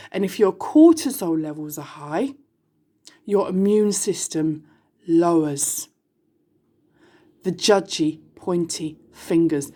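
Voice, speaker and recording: Blunt British woman in her forties. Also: mid-range voice. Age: 40s. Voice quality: blunt, emphatic, swears, rhetorical questions. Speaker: vlogger. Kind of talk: candid monologue to camera.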